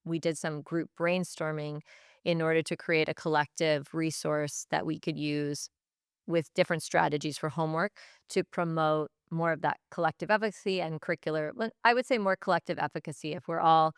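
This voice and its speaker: Low-pitched, creaky-voiced woman reflecting. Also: steady tone, fairly quick, no echo, clean studio sound. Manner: thoughtful, stop-and-start phrasing with repetition. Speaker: teacher and language department head, podcast guest. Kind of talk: reflective interview answers.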